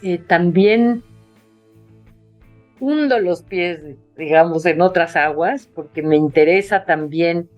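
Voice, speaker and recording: A woman's voice: lowish voice, takes it slowly, no room sound, dull audio, soft background music. A woman writer being interviewed over a video call, talking casually and hesitantly with many fillers and restarts. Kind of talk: casual conversation.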